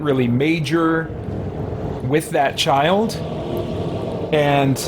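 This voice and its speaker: A man's voice: mid-range voice, background noise. A man giving casual, rambling and thoughtful gameplay commentary as a streamer. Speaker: gaming streamer.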